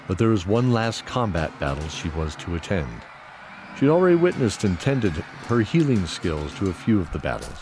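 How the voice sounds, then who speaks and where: A man narrating a scripted fantasy audio drama in a measured, descriptive storytelling manner. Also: deep voice. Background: music.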